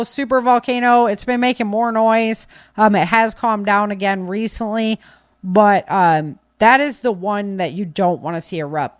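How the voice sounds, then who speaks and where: A woman's voice: mid-range voice, no echo. American woman podcaster, dry close sound. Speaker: podcast host. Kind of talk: casual unscripted podcast commentary.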